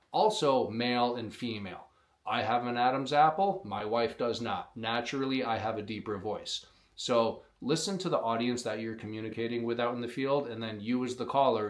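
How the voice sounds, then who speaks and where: A man explaining step by step, in a plainspoken way, how to blow a hunting call, teaching beginners on camera. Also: medium pitch. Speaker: call maker teaching predator calling. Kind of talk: instructional demonstration.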